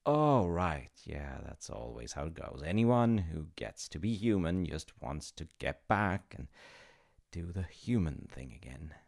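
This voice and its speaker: A man's voice: extremely low pitch, very animated, a little slow, gravelly, a little mellow, full character voice. A man playing an awkward, chatty demigod of reincarnation in a comedic audio roleplay, stammering and apologetic as he talks to the listener. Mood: coaxing. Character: flustered, chatty male demigod in charge of reincarnation.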